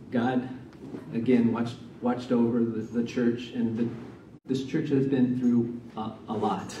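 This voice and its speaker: A middle-aged man, a missionary, giving a sermon and prayer in a church, soft-spoken and halting with many fillers. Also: mid-range voice, muffled recording, slight background noise. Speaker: missionary preacher. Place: church sanctuary, medium-sized. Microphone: stand mic from a distance. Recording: roomy church sound, mic far off.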